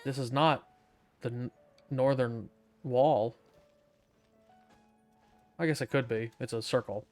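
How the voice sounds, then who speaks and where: A man's voice: mid-range voice, takes it slowly, long pauses, darkish tone, faint music behind. A man hosting a casual video game playthrough, tossing out dry, sardonic quips. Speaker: gaming video host.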